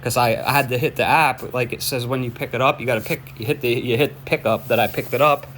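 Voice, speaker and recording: A man's voice: mid-range voice, steady tone, a bit bright. Guy on a phone call reporting something, keeps repeating himself, stumbly and insistent, bit of noise. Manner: repetitive, halting, insistent. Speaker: caller reporting a suspicious order to police. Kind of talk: phone conversation, explaining a complaint. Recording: noisy phone call recording.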